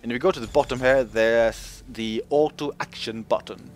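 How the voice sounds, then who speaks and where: A man's voice: medium pitch, normal speed. A man giving casual commentary over game footage, talking in a chatty way with lots of fillers and voice jumps when joking. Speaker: gaming video host.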